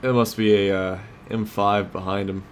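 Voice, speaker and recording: Low-voiced man commentating on a video game. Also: little pitch movement, moderate pace. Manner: thinks aloud in short tactical remarks. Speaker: gamer, host of a let's play series. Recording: clear background game noise. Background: vehicle and battle sounds of a tank game.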